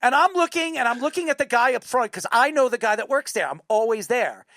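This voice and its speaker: A man telling stories in casual chat as a talk show host, excitable, repeating himself and swearing now and then. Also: extremely high pitch, a little fast, no echo, studio quality. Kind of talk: casual chat, animated storytelling.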